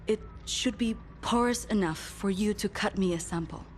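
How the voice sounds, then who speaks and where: An actress playing a methodical woman researcher in a video game, measured with small hesitations. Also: mid-range voice, slight background noise, lightly acted. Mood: calm. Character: studious woman, calm and methodical. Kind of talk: video game cutscene acting.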